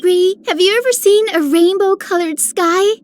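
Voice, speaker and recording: A wide-eyed young girl from a fantasy game, very high in pitch. She sounds innocent and curious, eager for adventure. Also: normal speed, slight background noise. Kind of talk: scripted game character voice lines.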